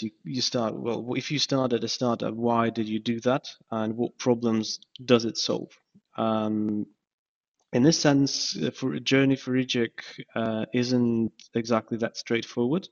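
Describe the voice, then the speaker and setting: A man's voice: medium pitch, creaky, a little mellow. A man from Ukraine, a startup founder interviewed as a guest, speaking casually and hesitantly with many fillers and restarts. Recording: dull lo-fi podcast audio.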